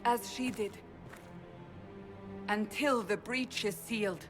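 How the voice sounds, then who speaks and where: A stern warrior woman, played by an actress in a dramatic game cutscene. She speaks slowly and gravely in a mid-pitched, commanding voice, with prominent music playing behind her. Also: fairly even intonation, natural voice. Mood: solemn, resolute. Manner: solemn, deliberate, commanding. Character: stern, grave female warrior escorting a prisoner.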